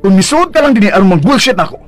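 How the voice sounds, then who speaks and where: An actor playing a devoted but firm husband in an expressive, melodramatic radio drama style. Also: male voice, high voice, very fast, dry recording, faint music behind. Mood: annoyed, defiant. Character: devoted husband, affectionate at home, firm with rivals.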